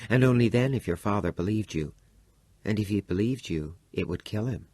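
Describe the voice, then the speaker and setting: A man voicing a calm, cold middle-aged stepmother who speaks quietly and evenly. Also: lowish voice, normal speed, breathy edge. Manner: quiet, even and controlled.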